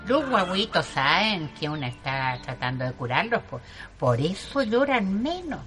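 Low-voiced rural Chilean healer woman. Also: big pitch swings, over music. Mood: pitying, folksy. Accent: rural Chilean Spanish. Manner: folksy, repeats words, drawn-out reassurance. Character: old rural folk healer woman, confident, homely, kindly. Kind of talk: radio drama acting.

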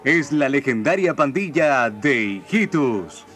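A man's voice: mid-range voice, lively intonation, slow pace, a bit bright, soft background music. A man performing as the solemn narrator of a homemade cartoon-parody radio play, in a dramatic scripted style. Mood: dramatic, ominous.

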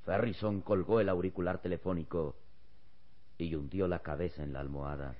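A man's voice: sits low, steady tone, moderate pace. A man narrating an old radio serial in a grave, emphatic, scripted style. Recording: dull old radio recording with music.

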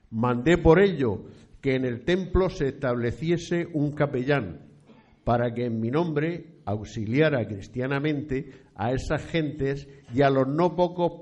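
An actor playing a pious, stately eighteenth-century Spanish landowner in a radio play, speaking in a solemn, formal and courteous old-fashioned way. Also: male voice, medium pitch, a little mellow, natural voice. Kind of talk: scripted historical radio play. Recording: dull, muffled lo-fi recording.

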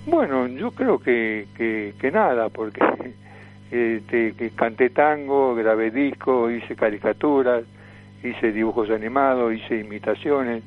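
An elderly man with a Rioplatense Argentine accent reminisces as a radio guest, rambling and halting with frequent fillers. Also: mid-range voice, animated, narrow, tinny audio, slight background noise. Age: 70s or older. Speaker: guest, veteran cartoonist, impressionist and tango singer.

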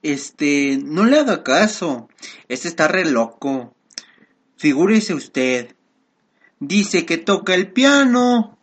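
A man's voice: on the high side, sing-song, slow pace, exaggerated voice. A man playing a coarse, harsh, easily astonished country bumpkin in a comic radio play, talking in rustic, exclamatory slang. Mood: flustered, indignant.

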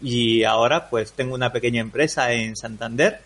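A relaxed man in his forties from Peru with a faint Peruvian lilt worn down by years in Spain. He is a guest on a podcast and explains his work at an easy, chatty pace, leaning on fillers and little check-in questions. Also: mid-range voice, moderate pace. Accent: Peruvian Spanish softened after years in Spain. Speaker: podcast guest, WordPress developer. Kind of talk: casual interview chat.

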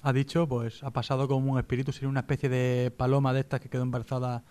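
A man's voice: medium pitch, little pitch movement, racing, warm tone. A man co-hosting a comedy radio show, reading mock news and slipping into casual banter.

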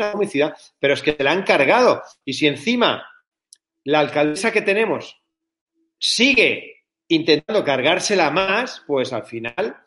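A man's voice: a little high, a bit bright. A man from Barcelona with a Barcelona Spanish accent, talking bluntly and colloquially as an interview guest, with some swearing. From Barcelona, Spain. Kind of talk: casual, opinionated interview talk.

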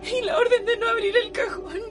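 Desperate, tearful daughter, very high-pitched. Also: female voice, steady tone, fairly quick. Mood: tearful desperation. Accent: Chilean. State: tearful, crying. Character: desperate grieving daughter pleading with her father. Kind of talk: radio drama acting. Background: loud music.

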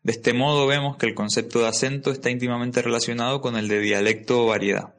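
A man's voice: mid-range voice, steady tone, quick pace, fairly bright tone. A man reading out a dictionary-style definition in a formal, didactic way.

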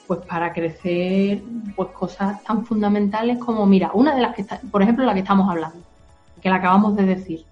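Woman gently explaining things to a child. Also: mid-range voice, a little fast, muffled recording, faint music behind. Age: adult. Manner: reassuring, uses simple comparisons.

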